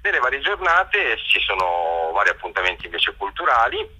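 A man's voice: on the low side, big pitch swings, pushed and bright, slightly rough, poor audio. A man presenting an event as an interview guest, often drawing out words as he searches for the next one. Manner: halting, searching for words with drawn-out words. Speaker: festival organizer and interview guest.

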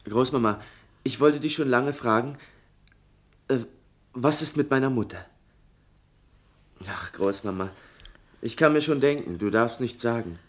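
Schoolboy son for radio play, male actor: curious, persistent, sensitive, halting and eager, mid-range voice. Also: medium pitch, natural voice. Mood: hesitant, wistful. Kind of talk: German radio play acting.

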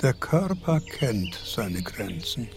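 An actor playing a frail, pedantic aging philosopher in an audio drama, speaking in formal, learned, deliberate phrases. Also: male voice, lowish voice, big pitch swings, creaky, background noise, exaggerated voice. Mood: pensive.

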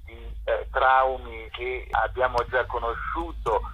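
A husky male voice comes through a muffled telephone line. He speaks slowly and deliberately as an interviewed curator, often pausing to find the next phrase. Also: medium pitch, little pitch movement, bright, forceful tone. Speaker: festival curator, interview guest. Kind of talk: measured phone interview.

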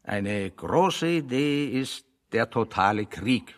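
A man acting in a German radio play as a pedantic, ironic intellectual, speaking deliberately and mock-seriously. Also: medium pitch, expressive, in a large hall.

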